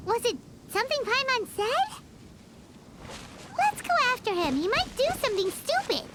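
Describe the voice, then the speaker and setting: Squeaky childlike little companion creature, very high lively voice, chatty and blunt, game music behind. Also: female voice, normal speed. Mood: indignant. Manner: talks about herself in the third person. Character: tiny floating female companion, chatty, blunt. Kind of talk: video game voice acting.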